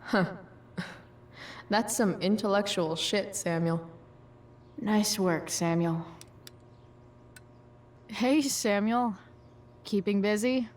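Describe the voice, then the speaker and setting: Guarded American teenage girl for a game woman performer, dry and slangy, very unhurried delivery. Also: medium pitch, slight background noise, close to an everyday voice. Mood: casual, amused. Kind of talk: naturalistic video game acting.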